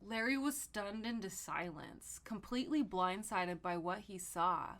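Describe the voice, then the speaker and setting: Expressive woman reading fan fiction aloud. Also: medium pitch, measured pace. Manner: expressive, foul-mouthed. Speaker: podcast host.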